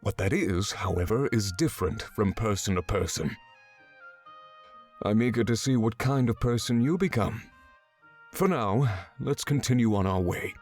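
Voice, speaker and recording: An actor playing a stern, exacting swordsman mentor in a fantasy visual novel game, giving measured, commanding instruction. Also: fairly low pitch, animated, darkish tone, close to an everyday voice. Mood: calm, resolute. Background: background music.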